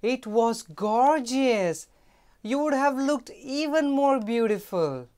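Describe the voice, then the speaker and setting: A woman voicing a quarrelsome palace maid, high-pitched, loud and indignant. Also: expressive, slow pace.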